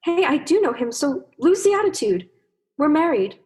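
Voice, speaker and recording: Give the radio play an indignant female fish, acted by a woman. Her voice is very high, and she speaks insistently, with menace under every claim. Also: very animated, moderate pace, darkish tone. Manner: insistent, threatening. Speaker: drama student acting.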